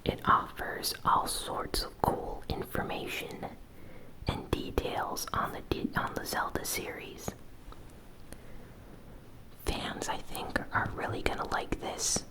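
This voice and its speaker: A woman hosting gaming news in a breathy ASMR whisper, delivering it softly and deliberately in short phrases. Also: rough recording. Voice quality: breathy, fully unvoiced whisper. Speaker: host of a gaming news show.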